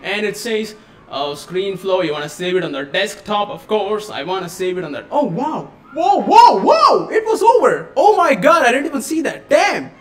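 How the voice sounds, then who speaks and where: Excitable man hosting a live tutorial. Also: high voice, normal speed. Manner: excitable, exclamatory, chatty. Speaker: tech tutorial host. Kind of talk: casual live-stream tutorial. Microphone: USB studio microphone.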